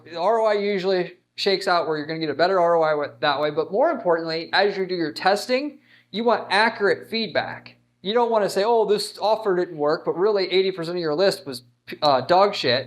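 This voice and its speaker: A man with a somewhat high-pitched voice gives an off-the-cuff business explainer. He is blunt and emphatic, with a salesy push to his phrasing. His delivery halts now and then as he repeats words and restarts sentences. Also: a bit bright. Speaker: marketing agency owner giving advice. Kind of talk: unscripted marketing explainer.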